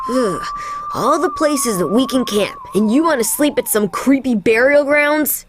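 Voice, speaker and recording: A woman's voice: high voice, lively intonation, measured pace, noisy background. A woman voicing a cocky, grumbling young boy hero in a cartoon-style video game, with a dismissive, whiny manner. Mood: grumbling, uneasy.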